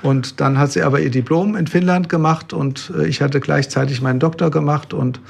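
A man in his fifties, a pastor, telling his story in an interview with relaxed hesitations. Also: on the high side, fairly quick, creaky. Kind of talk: interview conversation.

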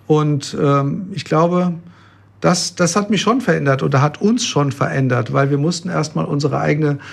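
A man in his fifties, a pastor, telling his story in an interview with relaxed hesitations. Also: on the high side, moderate pace, vocal fry. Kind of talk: interview conversation.

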